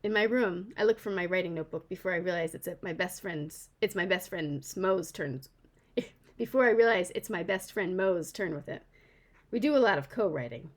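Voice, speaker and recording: A woman author reading her first-person children's novel aloud in an expressive storytelling style. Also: fairly low pitch, brisk. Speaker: author reading own book.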